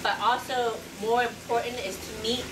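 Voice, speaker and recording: A woman's voice: fairly high pitch, fairly even intonation, moderate pace, echoey room, recorded from a distance, background noise. A woman chatting casually as she narrates her travels, rambling with fillers and restarts. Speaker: travel vlogger.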